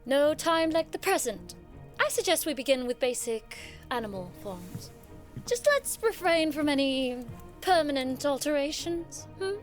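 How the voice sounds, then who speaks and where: High female voice, proud young noblewoman mage, wry, formal diction, audio drama, music underneath. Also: lively intonation, unhurried. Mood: brisk. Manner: wry, haughty, formal speech. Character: proud, witty young noblewoman studying magic. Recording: music behind the voice.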